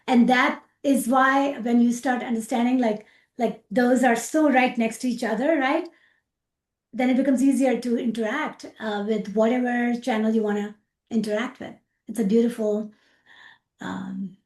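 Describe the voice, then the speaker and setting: A middle-aged woman with a Pakistani accent, an interview guest talking about her work with animated repetitions and fillers. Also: on the high side, echoey room. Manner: animated, repeats words, frequent fillers, laughs easily. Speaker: rocket scientist guest. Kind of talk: casual interview chat.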